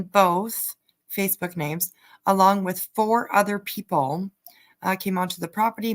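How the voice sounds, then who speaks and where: A woman giving casual, halting commentary as host of a livestream. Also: lowish voice, a bit bright. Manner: halting, hesitant delivery with trailing asides. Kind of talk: casual livestream commentary over played clips.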